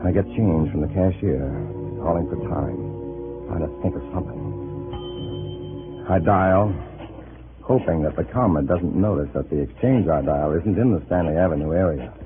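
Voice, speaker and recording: An actor playing a nervous, hesitant undercover agent posing as a loyal comrade in a 1950s radio drama. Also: male voice, low-pitched, moderate pace, pauses often, music in the background, close to an everyday voice. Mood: tense, hushed. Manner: hesitant, stammering under pressure. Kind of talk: 1950s radio drama acting with first-person narration. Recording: tinny, noisy old radio recording.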